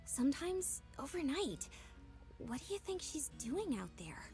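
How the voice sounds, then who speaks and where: Gossipy young village girl, lively swooping pitch with vocal fry, teasing, knowing game character. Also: unhurried, soft background music, full character voice. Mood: sly, teasing. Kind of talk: visual novel voice acting.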